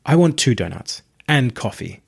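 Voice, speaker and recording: A man's voice: medium pitch, very animated, normal speed, creaky, husky, full character voice. A man voicing a sardonic, reluctant young woman at university, dry and blunt, in an audiobook. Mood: grudging, dry. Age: young adult. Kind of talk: audiobook character voice.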